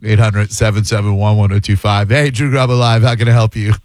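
A man's voice: fairly low pitch, level tone, very noisy. A male radio host taking calls and ranting bluntly, often mocking his callers. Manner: blunt, mocking, quick to rant. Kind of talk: call-in radio banter.

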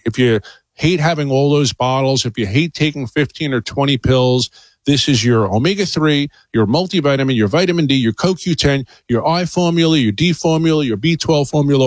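A man's voice: medium pitch, no echo. A man appearing as a doctor on a radio infomercial, chatting casually and enthusiastically about a supplement, with fillers. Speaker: guest doctor promoting a supplement.

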